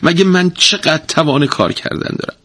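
A worn-out wife in a storybook folk tale, voiced by a man in a raised, husky tone. She scolds and pleads in turn, swinging widely in pitch. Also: huge pitch swings, no echo, full character voice. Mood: pleading. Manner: pleading then shouting, scolding. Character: weary, exasperated, nagging wife. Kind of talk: storybook reading.

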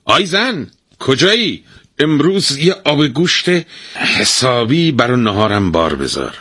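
A lazy, gluttonous husband from a storybook folk tale, voiced by a man in a whiny, bossy way. His husky voice jumps all over in pitch. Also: sing-song, bright, forceful tone, no room sound, studio quality, full character voice. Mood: demanding. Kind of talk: storybook reading.

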